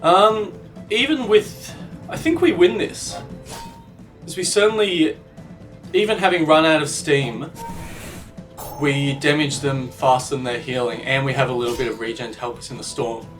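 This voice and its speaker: A man, slightly croaky from a cold, commentating his own gameplay and thinking aloud about strategy in a self-deprecating way. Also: on the high side, normal speed, a bit bright, far from the mic, faint music behind. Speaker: gaming channel host.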